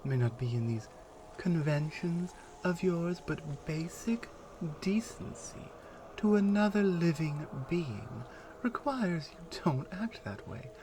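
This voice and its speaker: Casting: actress as proud Amazonian warrior woman for ASMR audio drama; commanding, unhurried, expressive range, noisy ambient backdrop. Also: lowish voice. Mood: cold, menacing. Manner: measured, commanding, deliberate pauses. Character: proud stern Amazonian warrior woman guarding an enemy prisoner.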